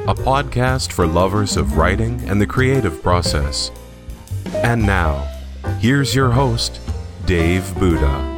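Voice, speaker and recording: Slow, low male announcer over jazz. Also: expressive. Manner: slow, showy announcer delivery. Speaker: show announcer. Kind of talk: scripted intro announcement. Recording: voice over loud jazz guitar.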